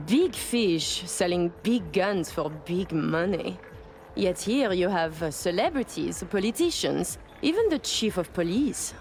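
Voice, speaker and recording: An actress playing a haughty, flirtatious, sharp-tongued socialite with a French accent in a video game. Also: female voice, mid-range voice. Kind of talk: video game cutscene acting.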